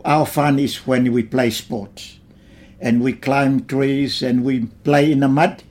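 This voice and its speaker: An older man from Tonga looks back on his early life in an interview. He speaks slowly and simply, with unhurried phrasing in a mid-range voice. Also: mid-range voice, fairly even intonation, darkish tone. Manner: slow, plain reminiscing with simple phrasing. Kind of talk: oral history interview.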